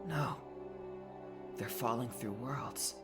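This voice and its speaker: A man voicing a grave, quiet character in an audiobook drama. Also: medium pitch, slow pace, lightly acted. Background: music score.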